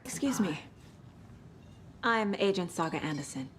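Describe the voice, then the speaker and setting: A woman's voice: on the low side, expressive, measured pace, slight background noise. An actress playing a composed, professional female FBI agent in a game cutscene. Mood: steady. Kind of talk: video game cutscene acting.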